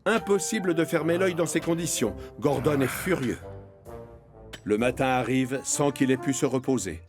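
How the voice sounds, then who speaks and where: A man's voice: mid-range voice, normal speed. Guy narrating a kids train cartoon, mid voice, music behind him. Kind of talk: scripted storytelling narration for children's cartoon.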